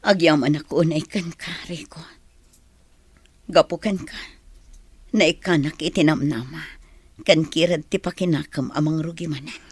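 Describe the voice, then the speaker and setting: A woman's voice: deep voice, normal speed, pauses often, breathy edge, no echo. A woman acting a sorrowful, regretful mother in a radio drama, plaintive and subdued, with an Ilocano accent. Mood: grateful, hopeful. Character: sorrowful, regretful mother longing for her child.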